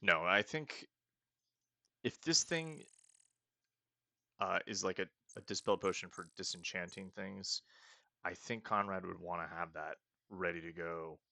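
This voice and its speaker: A man casually commenting on a game he is playing, planning his next moves aloud and often trailing off into soft mutters. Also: deep voice, unhurried, creaky, long pauses, dry recording. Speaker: let's play host.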